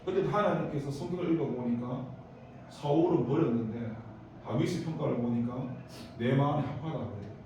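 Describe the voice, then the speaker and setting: A man's voice: mid-range voice, a little fast, dark tone, far from the mic, a little hiss or hum. A man with a Daegu accent preaching a youth service sermon, telling stories with sudden bursts of emphasis and rambling asides. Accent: Daegu Korean. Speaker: pastor. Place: church sanctuary. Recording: echoey church hall, preacher off-mic.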